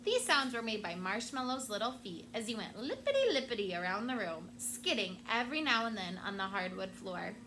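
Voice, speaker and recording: A woman teacher reading a picture book aloud to her class with expressive storytelling, stopping now and then to explain a word. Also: mid-range voice, brisk, hardly any pauses, noisy background.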